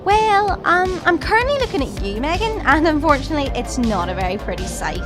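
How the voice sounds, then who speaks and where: A woman's voice: high-pitched, big pitch swings, brisk. A young woman playing a cheeky, sarcastic student in an audio drama, bantering casually. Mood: teasing. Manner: teasing, sarcastic. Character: stylish young Irish student, cheeky and dismissive of boys. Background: music.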